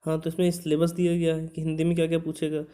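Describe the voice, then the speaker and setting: A man's voice: a little high, monotone, quick pace, warm tone. A man hosting an exam coaching lesson, informally explaining as he reads through a notice, with frequent short check-in words. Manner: conversational, checks in with repeated short tag words. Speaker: exam coaching instructor and channel host.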